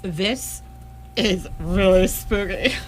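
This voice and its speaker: A woman's voice: medium pitch, very slow, a little hiss or hum. A woman playing an uneasy, practical space traveller, casual and questioning, in live radio theatre.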